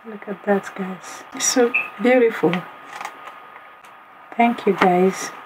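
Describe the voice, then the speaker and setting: A woman with a hoarse, half-lost voice talks slowly and excitedly to her viewers while she unboxes birthday presents at home. She exclaims at each gift, and a clear hiss of noise runs under the recording. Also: medium pitch. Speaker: vlogger. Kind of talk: casual unboxing vlog.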